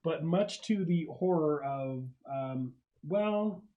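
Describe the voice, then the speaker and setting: A man's voice: fairly high pitch, slow, highs cut off. A man running a tabletop game for friends, narrating casually and chuckling as he goes. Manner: chuckles through his narration. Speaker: game master. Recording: noisy room recording with dice clicks.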